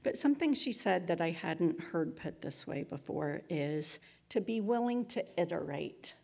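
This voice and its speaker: A woman giving an inspirational talk to her community in a measured, reflective, earnest manner, with a husky, creaky voice. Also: lowish voice, lively intonation, dark tone.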